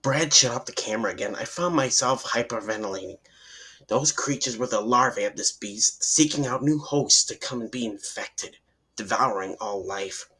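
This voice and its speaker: A man's voice: medium pitch, moderate pace, sharp tone, husky, low-quality recording. A man reading a horror story aloud in dramatic first-person narration, sometimes stumbling over words and restarting.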